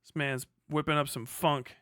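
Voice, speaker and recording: An American man with a bright, slightly high voice streams casually as he plays. His delivery is irreverent and sarcastic, with plenty of swearing, and the sound is crisp and close. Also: flat delivery, moderate pace, dry recording. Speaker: live streamer commenting while playing a video game. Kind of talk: casual livestream chat and commentary.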